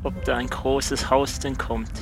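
A man's voice: mid-range voice, level tone, a little slow, over music. A man role-playing casually and matter-of-factly as a player in an improvised audio adventure. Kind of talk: casual in-character role-play.

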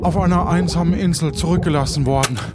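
A man playing a theatrical pirate ship's cook in an improvised audio adventure, phrasing things dramatically and haltingly. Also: on the high side, heavy background noise, lightly acted. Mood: solemn, dramatic.

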